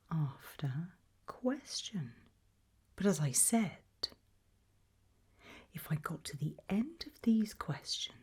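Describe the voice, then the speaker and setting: A British woman playing a composed, probing, ominous interrogator in a whispered, breathy ASMR roleplay, questioning deliberately. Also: fairly low pitch, mellow, full character voice. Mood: wry, menacing. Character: composed, probing female interrogator of possessed people at a sinister institute.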